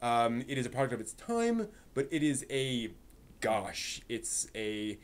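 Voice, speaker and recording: A man with a slightly high voice hosting a casual anime review, explaining in a halting way with repeated words and emphatic asides. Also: big pitch swings. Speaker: host reviewing anime on a live stream.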